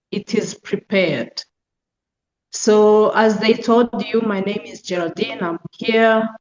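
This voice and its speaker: Slow-speaking Rwandan woman in an echoey room. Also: medium pitch, slight background noise. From Eastern Rwanda. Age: middle-aged. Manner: measured, halting, with deliberate phrasing. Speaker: guest lecturer, genocide survivor and foundation deputy director. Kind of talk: personal testimony in a public lecture. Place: university lecture room. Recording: muffled, echoey room sound.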